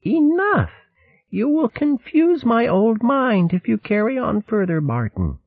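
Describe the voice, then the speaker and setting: A frail, gentle old mouse abbess voiced by a man, high and thin, soft and a little distant. Her dignified, kindly voice lilts up and down. The sound is dry and thin, like a narrow band-limited recording. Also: very animated, measured pace, gravelly, breathy edge, dry recording, heavily acted. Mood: firm.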